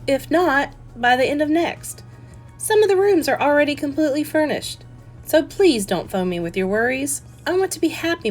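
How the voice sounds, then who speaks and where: A woman reader voices an independent grown daughter from a cozy mystery novel. The delivery is firm and earnest, with plenty of melodic movement in the pitch. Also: close to an everyday voice. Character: grown daughter, independent, exasperated yet polite innkeeper.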